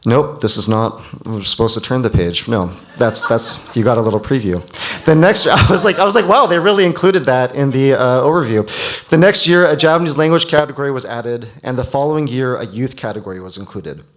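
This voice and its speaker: A man's voice: medium pitch, brisk. A man hosting a live event in a casual, chatty and jokey way, speaking informally to an audience. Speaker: event host, emcee. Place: on stage at a live awards event.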